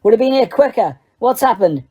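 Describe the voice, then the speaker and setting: A woman's voice: fairly high pitch, lively intonation, fairly quick, mellow, slightly rough, highs cut off, voice pushed up. A woman doing a wheezy, growling old fighter. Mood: gruff. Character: grizzled older male auror, gruff and limping.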